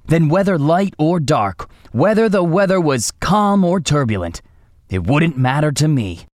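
A man's voice: on the high side, sing-song, unhurried, darkish tone, no echo, clean studio sound. A man playing a brave, lonely, steadfast toy soldier in a children's storybook. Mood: lonely longing.

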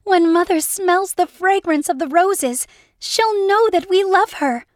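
A high-pitched, playful little girl. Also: measured pace, dry recording, clean studio sound. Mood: sweet, helpful. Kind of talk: children's storybook audio drama.

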